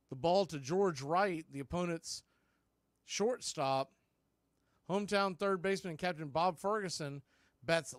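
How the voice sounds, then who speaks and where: A man's voice: fairly high pitch, dry recording, clean studio sound. A man doing radio baseball play-by-play as the announcer, speaking in short, clipped phrases.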